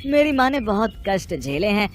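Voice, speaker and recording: A woman playing an earnest, protective young student son in an animated Hindi moral story. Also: mid-range voice, moderate pace, slight background noise, natural voice. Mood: sincere. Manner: earnest, emphatic.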